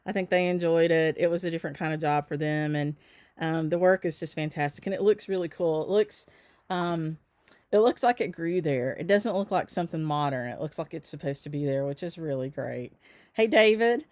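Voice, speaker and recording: A woman's voice: low-pitched, brisk, darkish tone, dry recording. A woman who runs a stitching shop hosts a casual livestream, chatting with viewers, greeting them by name, with frequent ums and small laughs. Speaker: shop owner hosting a livestream.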